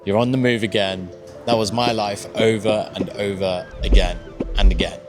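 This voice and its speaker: A man's voice: fairly low pitch, steady tone, moderate pace, loud music behind the voice. A young man from South Africa with a South African accent, reflecting candidly on his life in a casual personal vlog, with a slight stutter and the odd swear word. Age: young adult. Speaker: vlogger.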